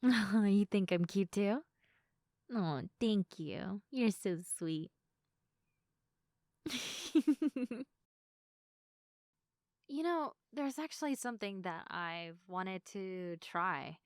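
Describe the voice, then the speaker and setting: A young woman acts as a drowsy, adoring girlfriend in an intimate audio roleplay. Her delivery is unhurried, tender and playful, with a hesitant softness. The sound is close and dry, as if she is talking to one person right beside her. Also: medium pitch, animated, no room sound. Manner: soft, giggly, hesitant, tender. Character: sleepy, affectionate girlfriend, gentle, playful and sincere. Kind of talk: intimate sleep-aid roleplay addressed to the listener.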